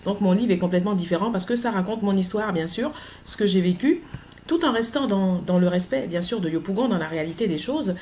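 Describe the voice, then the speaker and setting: A middle-aged woman, talking earnestly in a personal vlog, pausing often with hesitant fillers. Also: mid-range voice, darkish tone, a little hiss or hum. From Côte d'Ivoire. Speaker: author.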